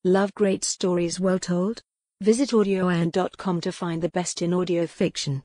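A woman's voice: lowish voice, fairly even intonation, normal speed, mellow. A woman audiobook narrator reading dramatic fiction from a script.

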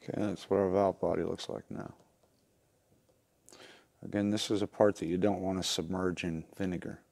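A man in his fifties giving a casual, plainspoken, step-by-step repair tutorial at an unhurried, instructional gait. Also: low voice, flat delivery, creaky, a little mellow. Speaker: instructor demonstrating lantern repair. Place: indoor wooden workshop at a workbench.

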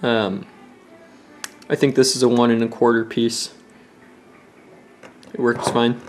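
A young man with a medium-pitched voice walks through a homemade project in an informal way. He thinks aloud as he goes, a little hesitant, like an amateur tutorial presenter. He is recorded indoors at home, close to a handheld camera. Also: little pitch movement, slow pace, faint music behind. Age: young adult. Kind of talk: casual how-to explanation. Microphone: handheld camera at close range.

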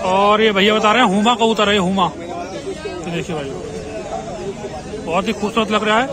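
A man with a Western Uttar Pradesh Hindi accent talks in brisk, salesy patter, his voice sitting fairly high. He is recorded on a phone while walking through an open-air market, with chatter and traffic all around him. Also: fairly even intonation. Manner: brisk promotional patter, repeatedly urging viewers. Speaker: vlogger touring a pigeon market. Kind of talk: walking market vlog, talking to sellers and viewers. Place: busy outdoor street market. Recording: noisy outdoor market crowd. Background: crowd chatter and traffic.

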